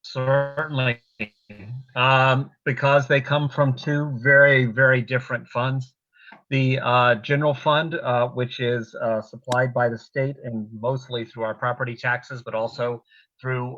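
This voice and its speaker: A man explaining haltingly as an official at a public meeting, on slightly dull call audio. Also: medium pitch, level tone, sharp tone. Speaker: school district facilities official.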